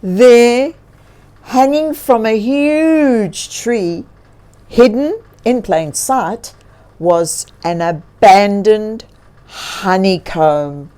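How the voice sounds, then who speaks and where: A woman reading a story aloud for children as host, expressive and encouraging, often putting questions to listeners. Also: mid-range voice, extremely slow, clear, resonant tone.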